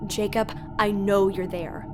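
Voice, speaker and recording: A woman's voice: medium pitch, level tone, unhurried, music in the background, lightly acted. A woman acting as an earnest, no-nonsense guide in an audio drama, speaking with urgent, stern emphasis. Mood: outraged, scolding. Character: earnest, no-nonsense woman guiding a reckless youngster.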